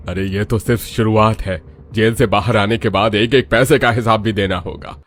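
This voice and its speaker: A man's voice: fairly low pitch, moderate pace, slight background noise, natural voice. A man voicing a protective, indignant middle-aged father in a cartoon family melodrama, stern and emphatic. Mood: outraged. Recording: music under the voice. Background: background music.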